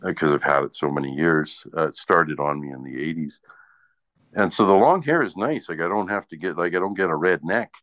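A man's voice: sits low, fairly quick, a bit bright. A man in his sixties chatting casually in a rambling, easygoing way. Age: 60s. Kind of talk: casual chat. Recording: dull lo-fi audio in echoey room.

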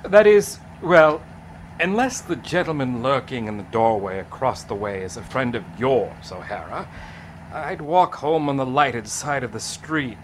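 An actor playing a cagey, formal police commissioner in an old radio crime drama, with measured, clipped delivery. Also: male voice, mid-range voice, expressive, slight background noise, natural voice. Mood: dry, guarded. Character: urbane, cagey British colonial police commissioner.

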